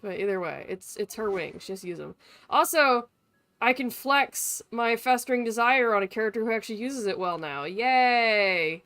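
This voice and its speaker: A woman's voice: on the high side, expressive, normal speed, fairly bright tone. A woman live streamer giving casual, irreverent gaming commentary peppered with swearing. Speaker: live streamer playing a game. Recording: faint game music behind voice. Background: game music.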